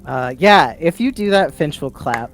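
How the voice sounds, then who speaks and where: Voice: medium pitch, expressive, a little slow. A tabletop show player narrating a character's actions in improvised, storytelling fashion. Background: music.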